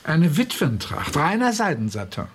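An actor playing a courteous, persuasive male tour guide in his fifties, formal and gently insistent, in a radio drama. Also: a little high, huge pitch swings, normal speed, husky, heavily acted. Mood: ceremonious, officious. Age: 50s. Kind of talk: German radio drama acting.

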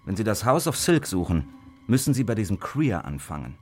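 An actor playing a wary, greedy Victorian opium addict confiding secrets in a radio crime drama, with theatrical, deliberate emphasis. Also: male voice, on the low side, big pitch swings, breathy edge, faint music behind. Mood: conspiratorial.

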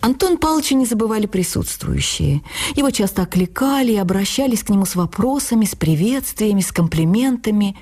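A woman's voice: medium pitch, brisk, soft background music. A woman narrating a literary memoir expressively, as a dramatized audio reading. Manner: expressive storytelling with acted dialogue.

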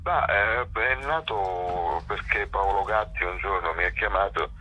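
Male interviewee, festival director. Bottom-register gravelly husk with a hard, piercing edge, rambling and keen, heard down a phone line. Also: sits low, a little slow, sharp tone. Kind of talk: casual spoken interview.

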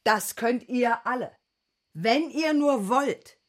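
A woman's voice: on the high side, lively intonation, slow pace. An actress playing a commanding party exercise instructor in her thirties in a radio drama, exhorting and drawing out her counts. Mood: brisk, commanding. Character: 39-year-old drillmaster woman leading compulsory party exercises. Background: piano music.

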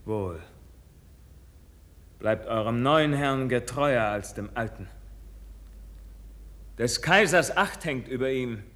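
A man's voice: medium pitch, fairly bright tone. An actor playing a passionate, anguished young nobleman officer in a 1950s German radio verse drama, declaiming fervently. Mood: bitter, grieving. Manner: declamatory, fervent, drawn-out delivery. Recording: 1950s radio production with music.

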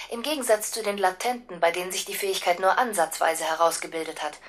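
A woman's voice: lowish voice, a bit bright, close to an everyday voice. Actress as a cool, detached female official. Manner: matter-of-fact, brisk, detached. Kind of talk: radio drama acting.

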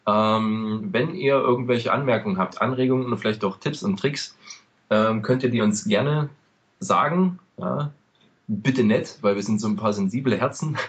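A man's voice: on the low side, normal speed, dull audio. A man from eastern Germany co-hosting a casual podcast, explaining at length with many fillers. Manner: chatty, many "ähm" fillers, loose rambling explanations. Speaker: podcast co-host. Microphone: home microphone over a call.

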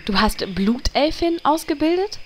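A woman's voice: high voice, expressive, moderate pace, a little hiss or hum. A woman playing a blunt, sarcastic, no-nonsense adventurer in a comedy audio drama.

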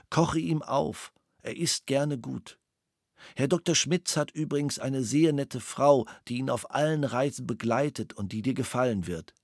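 A man reading documents aloud in a radio play at a slow, measured pace, close to a studio mic. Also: mid-range voice, a little mellow, dry recording.

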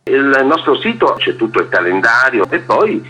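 A man's voice: medium pitch, big pitch swings, normal speed, bright, forceful tone, breathy edge. A man being interviewed as an artistic director, giving wordy, formal explanations. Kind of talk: radio interview, explanatory talk. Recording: dull lo-fi sound with faint music.

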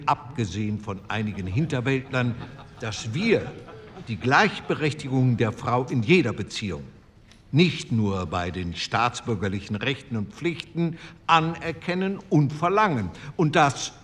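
A man's voice: mid-range voice, expressive, a little slow, fairly bright tone. An actor playing a male postwar delegate, giving formal, argumentative parliamentary speech in a radio drama. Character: male postwar CDU delegate, earnest and persuasive. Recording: reverberant hall with faint room noise.

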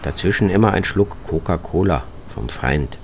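A man's voice: low voice, lively intonation, normal speed, a little hiss or hum. A man narrating a scripted first-person travel report, as a reporter, with music behind his voice. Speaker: reporter narrating own radio travel feature.

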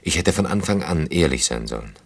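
A very deep male voice plays an earnest man in his thirties. He is in love and blames himself, speaking intimately and thoughtfully, as in a radio drama. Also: expressive, brisk, husky, heavily acted. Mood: regretful, restrained. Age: 30s. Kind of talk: German radio play acting.

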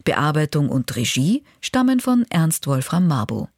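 A woman's voice: low-pitched, very animated, measured pace, darkish tone, dry recording. Dry-sounding, factual woman radio presenter. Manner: factual, precise. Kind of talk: scripted announcement for a radio magazine.

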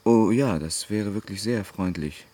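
A reserved young gentleman in a radio play. He speaks in a low voice with brief, dutiful courtesy. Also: a little slow. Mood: dutifully polite. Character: polite, reserved young male cousin going along. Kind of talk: radio drama acting.

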